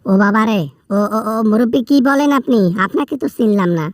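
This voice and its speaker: A woman's voice: a little high, a little fast, dry recording, studio quality, natural voice. A woman with a Bangladeshi accent playing a whining male prisoner in a comic phone skit, pleading and repeating words in agitation. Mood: flustered, complaining. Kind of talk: comic prank-style phone call skit.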